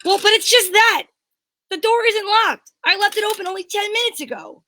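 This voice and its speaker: A woman's voice: very high voice, normal speed, bright, forceful tone, slight background noise. A woman playing a feisty, indignant young mademoiselle, pert and flustered, in an amateur 1940s radio mystery reading.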